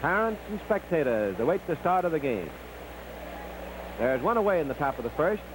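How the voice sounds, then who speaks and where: A man's voice: a little high, sing-song, moderate pace, background noise. A man narrating baseball play-by-play in 1950s newsreel style, on an old recording.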